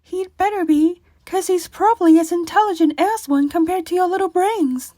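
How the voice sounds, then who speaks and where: Woman as very high-voiced, bold little college lad. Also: moderate pace, dark tone. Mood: defiant. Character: small, bold, sharp-tongued young male college senior. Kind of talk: amateur radio drama acting.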